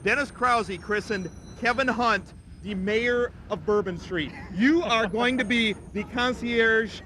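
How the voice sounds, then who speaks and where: A man doing lively live television banter, exuberant and teasing. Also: very high voice, slow pace. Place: outdoors on a city street in rain. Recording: outdoor live shot, clear street noise.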